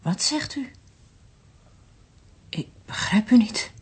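An actress playing a bewildered, shaken daughter in a Dutch radio drama, speaking hesitantly and falteringly in a breathy voice. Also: female voice, a little high, expressive, slow, whispered, full character voice.

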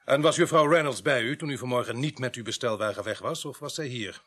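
A man's voice: medium pitch, fast talker, fairly bright tone, husky. An actor playing a dry, probing male police sergeant in a radio play. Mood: stern, pressing.

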